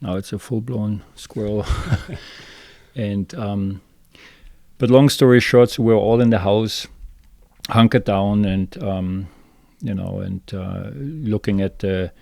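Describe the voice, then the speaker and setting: A man from Germany with a southern Bavarian accent, a guest on a podcast telling his story in a halting way, with many fillers and restarts. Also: lowish voice, fairly even intonation, vocal fry, a little mellow, no echo. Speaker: guest, fishing guide. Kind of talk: casual interview storytelling.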